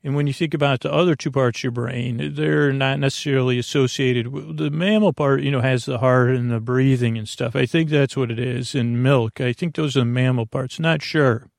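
Male sleep-podcast host, gravelly and dry-miked, drifting through halting, digressive bedtime rambles. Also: mid-range voice, fairly even intonation, no echo. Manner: meandering, halting, digressive, self-interrupting. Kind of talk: rambling bedtime monologue to lull listeners to sleep.

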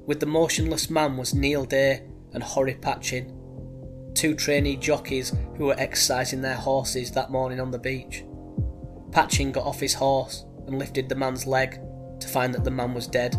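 Podcast host with a cold, level monotone storyteller over a music bed. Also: male voice, medium pitch, moderate pace. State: has a head cold. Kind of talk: true crime narration.

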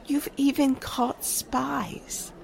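Actress as society busybody, affected, insinuating, slow delivery, upper-pitched, 1940s radio drama. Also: female voice, level tone, creaky, exaggerated voice. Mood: gossipy. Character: gushing, suspicious small-town society gossip lady.

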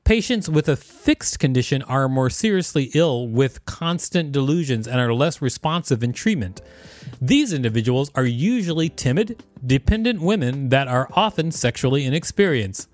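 A man's voice: medium pitch, moderate pace, no room sound, soft background music. Man reading an encyclopedia article. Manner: plain steady reading, joking in the chat breaks. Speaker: podcast co-host and reader.

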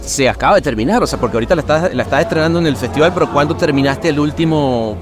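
A man hosting a casual interview, relaxed and slangy, often saying "güey". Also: a little high, very fast. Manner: relaxed, slangy, frequent "güey" and "qué chingón". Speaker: podcast host.

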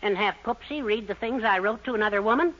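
Scheming, flowery middle-aged fellow, sharp bright voice, old radio comedy, muffled recording. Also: male voice, high-pitched, quick pace. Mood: fretful, scheming. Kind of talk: 1940s radio sitcom acting.

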